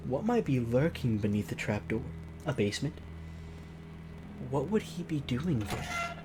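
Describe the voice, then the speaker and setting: A man's voice: mid-range voice, huge pitch swings, a little slow, music in the background. A man hosting a let's play, reading a visual novel aloud theatrically and breaking off into mocking, exclaimed asides. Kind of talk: narrated game let's play with joking asides.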